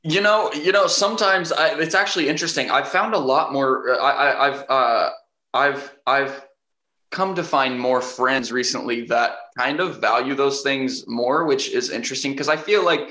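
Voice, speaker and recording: A young man, an actor, answering interview questions casually, hesitant and full of restarts, with a fairly deep voice. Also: normal speed, sharp tone. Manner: hesitant, many restarts and fillers, laughs easily. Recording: roomy echo.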